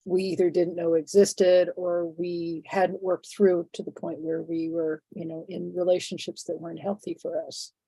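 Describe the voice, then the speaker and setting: Woman, 60s, interview guest, congested from a cold, low pitch, halting and reflective. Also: flat delivery, vocal fry, dark tone, dry recording, rough recording. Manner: thoughtful, halting, many fillers and restarts. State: congested, phlegmy from a head cold.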